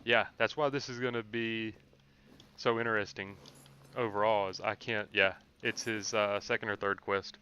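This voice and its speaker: Male game streamer, lowish voice, casual and explanatory, thinking out loud, noticeable noise behind. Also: fairly even intonation, moderate pace, muffled recording. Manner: thinks aloud, explains tactics. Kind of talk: casual live gameplay commentary.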